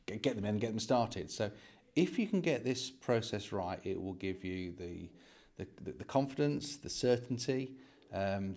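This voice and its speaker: British man, forties, fairly low-pitched, casual vlog explainer, handheld phone, empty house interior. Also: darkish tone. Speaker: property investor and vlog host. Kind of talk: casual explainer to camera, property walkthrough. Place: indoors, empty house being renovated. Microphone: handheld phone at arm's length.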